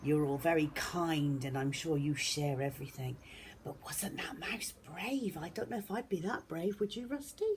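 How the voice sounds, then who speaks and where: A woman's voice: low-pitched, normal speed, slight background noise. A woman reading a picture book to young children expressively and dramatically, often dropping toward a whisper. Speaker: children's storyteller.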